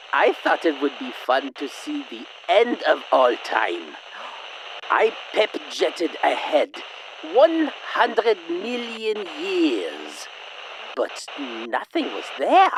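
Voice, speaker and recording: A woman's voice: medium pitch, background noise. A woman playing a time-travelling captain who records an earnest, dramatic log in an audio drama.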